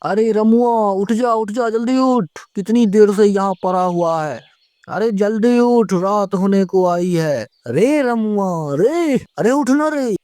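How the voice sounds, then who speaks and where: A man voicing a worried, excitable village politician in an animated horror story, exclaiming and pleading colloquially. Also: high-pitched, slow pace, clean tone, no room sound. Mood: urgent. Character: worried village politician protecting his servant, excitable male.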